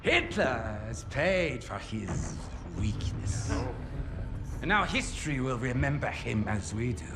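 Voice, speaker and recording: Loud music, then fanatical villain; deliberate, grand, widely swinging delivery. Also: male voice, mid-range voice, sing-song. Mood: grandiose, menacing. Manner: pompous, deliberate, theatrical. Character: cold, fanatical Nazi officer with grand, vengeful ambitions. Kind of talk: cinematic video game acting.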